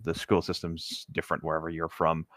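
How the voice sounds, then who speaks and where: A man co-hosting a casual read-aloud, halting and agreeable as he adds chatty asides. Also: extremely low pitch, monotone, a bit bright, dry recording, clean studio sound. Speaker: co-host of a humorous read-aloud commentary.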